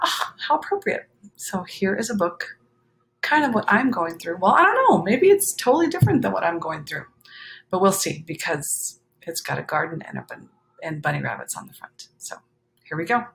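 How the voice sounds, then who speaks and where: A woman reading a children's picture book aloud, expressive, with chatty explanatory asides to young listeners. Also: fairly low pitch, moderate pace, creaky, poor audio.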